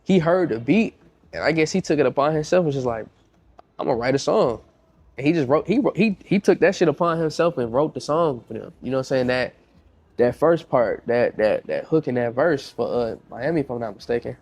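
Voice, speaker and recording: A man's voice: mid-range voice, expressive, fairly quick, a little mellow. A young man with a congested voice, a music producer talking casually in a podcast interview, slangy and repeating himself as he tells stories.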